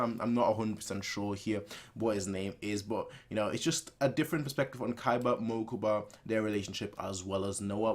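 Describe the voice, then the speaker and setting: A man casually commenting as a reaction video host, repeating himself as he works out his thoughts. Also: lowish voice.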